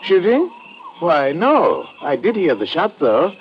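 Muffled old radio serial: a proper, genial male doctor, mid-pitched with lively swoops. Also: slow, full character voice. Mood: genial, surprised. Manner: polite, proper, effusive. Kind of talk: old-time radio adventure drama acting. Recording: dull old radio recording, faint noise.

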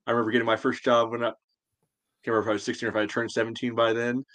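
American man, rapid speech, dry close recording, casual sports podcast co-host, blunt. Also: mid-range voice, monotone, fairly bright tone, no echo.

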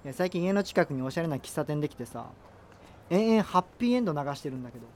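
An actor playing an opinionated, nitpicking high-school boy who rambles fussily, in a naturalistic radio drama with noisy ambience. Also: lively intonation, rapid-fire, a little mellow, close to an everyday voice. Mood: griping, opinionated. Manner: rambling, fussy, complaining banter. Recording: clearly noisy ambient background.

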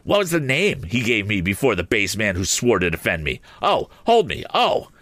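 A man's voice: on the low side, huge pitch swings, moderate pace, a bit bright, no echo. A man voicing a furious, shrewish wife who scolds and screams in a storybook reading. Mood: shrieking.

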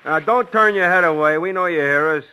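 An actor playing a gruff male prison guard who talks bluntly in street slang, in a 1940s radio play style. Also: fairly high pitch, brisk, sharp tone, rough recording. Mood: impatient.

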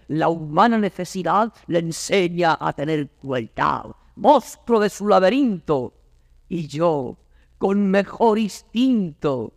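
Casting: anguished captive prince, verse drama, slow gravelly declamation, reverberant recording. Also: male voice, a little high, studio quality, exaggerated voice. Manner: solemn, drawn-out, impassioned declamation. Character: anguished imprisoned young man lamenting his fate.

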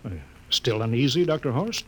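An actor playing a stern, steady spaceship captain in old-time radio drama, giving clipped, authoritative lines. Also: male voice, mid-range voice, normal speed, natural voice, rough recording. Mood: commanding. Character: stern, commanding male spaceship captain, steady under pressure. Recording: old radio recording with faint noise.

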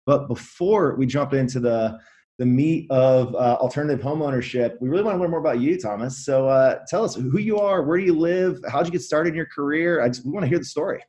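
A man's voice: on the high side, fairly quick, warm tone. A man hosting a podcast interview, upbeat and eager, chatting casually with frequent fillers and restarts. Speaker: podcast host.

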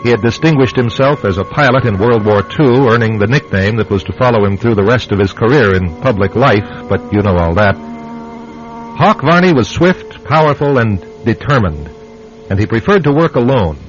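A man narrating a radio drama from a script in a grave, measured, deliberate manner. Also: medium pitch, lively intonation. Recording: muffled vintage radio, music behind.

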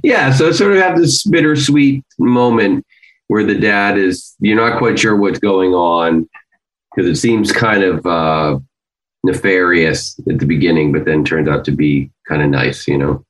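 A man's voice: deep voice, measured pace, rough recording. A man who writes and performs for a podcast, chatting casually and with a joking, teasing manner.

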